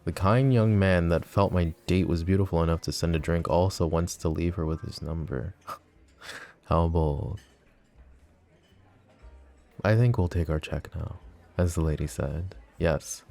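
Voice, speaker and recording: An actor playing a possessive, flirty mafia boss in an ASMR roleplay drama, teasing and smug with a cold, threatening edge. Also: male voice, sits low, measured pace, creaky, pauses often, darkish tone, soft background music. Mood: wry.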